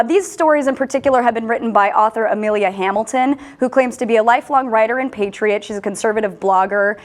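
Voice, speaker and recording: Woman, talk show host, casual news discussion, animated delivery. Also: mid-range voice. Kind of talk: casual online news chat.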